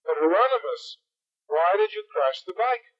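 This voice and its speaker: A man's voice: sits high, animated, moderate pace, breathy edge, pitched above the performer's own voice. A man voicing an impatient small boy who scolds his brother. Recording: dull lo-fi recording.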